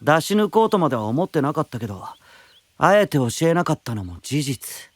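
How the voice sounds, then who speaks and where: Prickly young knight, animated high voice. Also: male voice, a little fast. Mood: grudging, frank. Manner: cool, snippy, candid. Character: proud, prickly young elder-brother knight. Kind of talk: Japanese anime-style voice drama acting. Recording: music under the voice.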